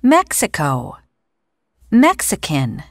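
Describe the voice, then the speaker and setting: A woman clearly pronouncing each word of a vocabulary list on a course audio track, played back during a lesson. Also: deep voice, huge pitch swings, dry recording, clean studio sound. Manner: clear, deliberate word-by-word pronunciation. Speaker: textbook audio speaker.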